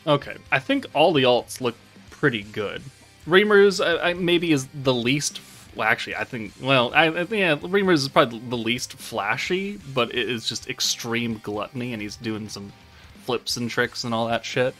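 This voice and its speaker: A man's voice: medium pitch, lively intonation, moderate pace, sharp tone, faint music behind. A man giving casual, informal game commentary as a channel host, rambling and thinking aloud as he goes.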